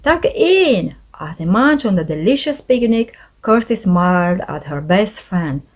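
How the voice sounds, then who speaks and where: Woman reading children's stories, very lively intonation. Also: fairly low pitch, moderate pace. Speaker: storybook narrator, channel host. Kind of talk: scripted children's story narration, read aloud.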